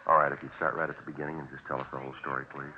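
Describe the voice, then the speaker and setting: An actor playing a terse, deadpan American police detective in a 1950s radio drama, on a muffled, hissy recording. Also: male voice, very deep voice, very fast. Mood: businesslike. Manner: clipped, deadpan, matter-of-fact. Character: terse, businesslike male police detective sergeant.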